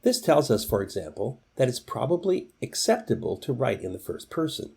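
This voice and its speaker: Lively man with a mellow, husky voice. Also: medium pitch, normal speed. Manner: instructive, addresses the viewer directly. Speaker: presenter of writing tips. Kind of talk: scripted instructional narration.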